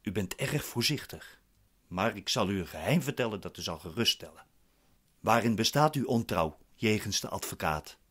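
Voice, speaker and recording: A man's voice: lowish voice, animated, moderate pace, a bit bright. An actor playing a suspicious, impatient man with a curt, questioning manner in a radio drama. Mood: inquisitive. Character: suspicious, impatient, commanding male defendant.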